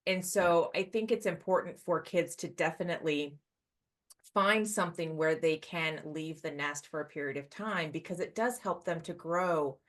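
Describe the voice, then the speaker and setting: A middle-aged woman with a notably low-pitched voice hosts a podcast. She speaks conversationally, putting questions to her guest and adding thoughts of her own. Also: moderate pace. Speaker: podcast host. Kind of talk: conversational interviewing.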